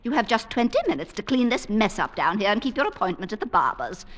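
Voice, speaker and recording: An actress playing a bossy, domineering middle-aged wife in an old-time radio drama, scolding and imperious. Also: female voice, medium pitch, very animated, fast, fairly bright tone.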